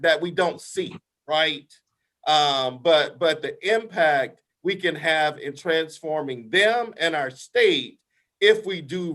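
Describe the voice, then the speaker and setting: A man's voice: a little high, a bit bright. A man with a big voice advising a council in a meeting over a video call, talking emphatically, often ending points with "right?" and restarting his sentences. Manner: emphatic, repeats "right?" as a tag, many restarts. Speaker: invited expert advising the council. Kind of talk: meeting discussion.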